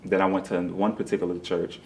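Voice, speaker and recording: A man's voice: deep voice, little pitch movement, fairly quick, slight background noise, low-quality recording. A man being interviewed as a guest, telling his story in a casual, earnest, conversational way and often repeating himself. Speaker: interview guest, playwright and director.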